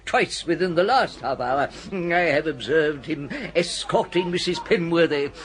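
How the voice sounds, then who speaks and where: A man's voice: a little high, a little slow, big-room sound, natural voice. A man playing a pompous, kindly old country vicar with an upper-class English accent, speaking formally and ponderously in a radio comedy. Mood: pleased, confiding. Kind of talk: British radio comedy acting.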